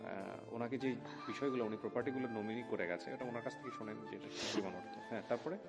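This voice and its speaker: A man's voice: medium pitch, fast talker, vocal fry. A man hosting an interview in a reflective way, switching in and out of English. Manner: switches between Bengali and English. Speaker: radio show host. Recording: loud music over the voice.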